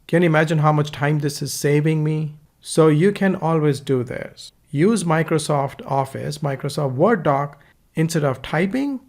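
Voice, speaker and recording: A man's voice: on the high side, moderate pace, darkish tone. A man with an Indian accent teaching a screen-recorded course in a casual, instructive way, recorded on a USB desktop microphone. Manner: casual, instructive, dictates punctuation aloud.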